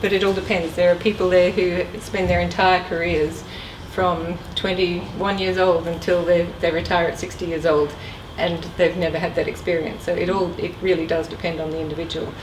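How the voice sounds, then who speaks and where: A woman's voice: lowish voice, little pitch movement, moderate pace, hardly any pauses. A middle-aged woman speaking informally as a guest speaker, giving a career talk and answering questions. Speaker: guest speaker, former air traffic controller. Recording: echoey room, distant mic, clear noise.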